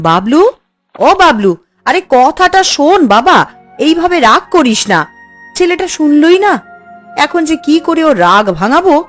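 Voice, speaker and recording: Woman acting a high-voiced fretful cartoon mother. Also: unhurried, pauses often, faint music behind. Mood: worried, coaxing. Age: adult. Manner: exasperated, coaxing, expressive. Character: fretful, scolding housewife and doting mother of a boy.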